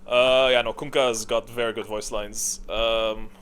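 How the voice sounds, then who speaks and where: A man giving casual, off-the-cuff ranking commentary in an informal, opinionated way, often halting to think aloud. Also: lowish voice, flat delivery, fairly bright tone. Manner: opinionated, informal, mildly profane. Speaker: video creator giving a tier list. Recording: clearly audible background noise.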